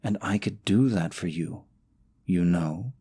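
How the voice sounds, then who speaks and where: A man's voice: low voice, measured pace, dark tone, slightly rough, heavily acted. A young man acting in an audio roleplay as a scheming, possessive boyfriend, falsely sweet and coaxing, snide about his rival. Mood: coaxing, menacing.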